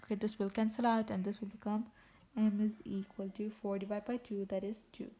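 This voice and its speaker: A woman's voice: medium pitch, little pitch movement, a little fast, dark tone. A woman from Tanzania, teaching math in a casual video. She talks straight to her viewers, correcting herself as she goes. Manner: chatty, self-correcting, talks to viewers directly. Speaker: math tutor.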